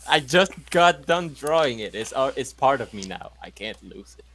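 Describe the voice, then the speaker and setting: A man's voice: mid-range voice, expressive, normal speed, a bit bright, a little hiss or hum. A man in a tabletop role-playing game, chatting casually and unhurriedly.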